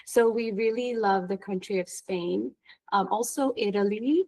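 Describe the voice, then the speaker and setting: A woman's voice: medium pitch, unhurried, warm tone, no echo, poor audio. A woman chatting casually as a panel guest, speaking steadily with frequent "uh" and "um" fillers. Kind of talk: casual chat.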